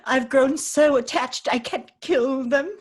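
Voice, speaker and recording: A woman's voice: sits high, steady tone, a little slow, breathy edge, voice pushed up. A woman playing a melodramatic, callous mother in improvised radio comedy, drawing out her mock-tragic lines. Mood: mock grief.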